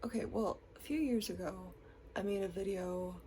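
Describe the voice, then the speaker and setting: A woman's voice: medium pitch, normal speed, mellow, a little hiss or hum. A young woman with a stuffy, congested voice from a cold, chatting casually to her camera with lots of likes and ums. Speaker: vlogger.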